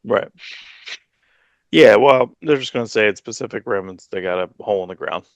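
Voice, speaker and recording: A man in his forties co-hosting a comics podcast from home on a headset, talking in a relaxed, hesitant way with many fillers. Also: on the low side, moderate pace, no room sound, lo-fi sound. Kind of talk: casual chat.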